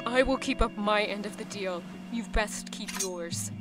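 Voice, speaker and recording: An actress playing a proud, resolute goddess in an audio drama, measured and commanding, scornful of mere humans. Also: female voice, medium pitch, loud music behind the voice, lightly acted.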